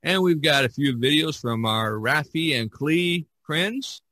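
An American man hosting a podcast casually, often pausing in the middle of his phrases. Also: a little high, expressive, pushed and bright, slight background noise. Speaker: podcast host.

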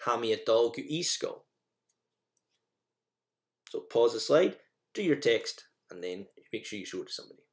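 Man teaching, with lively pitch swings. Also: normal speed, long pauses. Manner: instructional, informal, repeats phrases for learners. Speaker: Gaelic language teacher presenting a lesson. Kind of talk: slide lesson narration with instructions and example sentences.

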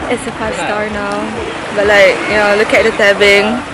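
A woman's voice: mid-range voice, level tone, normal speed, very noisy. A young woman with a Malaysian accent, chatting casually to a handheld phone camera as a vlogger outdoors. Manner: chatty, mixing English with Malay. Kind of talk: casual vlog chat. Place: outdoors at a beach and a go-kart track. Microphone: phone camera at arm's length.

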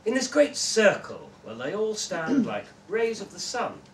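Casting: male reader as female museum guide, cheerful, measured, explaining patiently, heard from off in an echoey room. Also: high-pitched, wildly swooping pitch, voice pushed up, full character voice. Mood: patient, explanatory. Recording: distant, echoey room with background noise.

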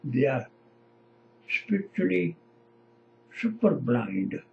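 Elderly male lay preacher, Punjabi accent, heavy creak in the voice, unhurried halting delivery, dull webcam sound. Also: medium pitch, sing-song, a little hiss or hum. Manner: halting, short emphatic phrases. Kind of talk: informal sermon to camera. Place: small indoor home office.

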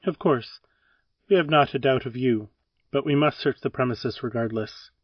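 An actor playing a polite, businesslike police officer in an audio drama, with a courteous, formal manner. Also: male voice, medium pitch, moderate pace, slightly rough, no echo, distant mic, lo-fi sound, close to an everyday voice. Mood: polite, probing.

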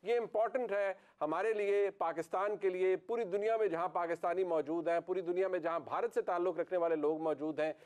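High-voiced male studio sports host. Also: a little fast, few pauses, darkish tone. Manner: fluent, formal welcoming host with many fillers in long questions. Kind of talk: studio broadcast presenting.

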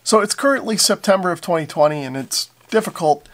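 Guy in his 40s doing casual fix-it video narration, slightly high voice, lots of vocal fry. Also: expressive, brisk, a bit bright. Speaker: repair channel host.

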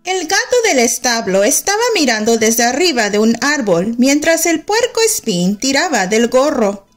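A woman reading a children's picture book aloud in a slow, expressive storytelling manner. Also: a little high, soft background music. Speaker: storybook reader.

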